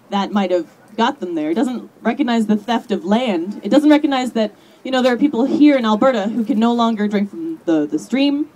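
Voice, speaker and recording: Conversational woman with a mellow voice. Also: fairly high pitch, poor audio. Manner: conversational, many "you know" fillers. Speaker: activist speaker at a rally. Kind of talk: rally speech. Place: outdoor rally in a park.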